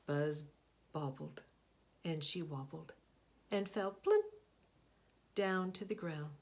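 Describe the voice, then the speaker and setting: A woman reading a picture book aloud, expressive, often dropping to a hushed whisper. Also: sits low. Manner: expressive, slow, hushed asides. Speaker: storybook reader.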